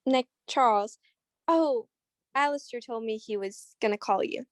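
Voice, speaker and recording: A woman acts out a cool, knowing dame in an old-time radio detective play. Her voice sits fairly high and is recorded very dry, and her wit is just as dry. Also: a little slow, dry recording, lightly acted. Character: cool, dry-witted female mystery woman. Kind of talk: 1940s radio mystery acting.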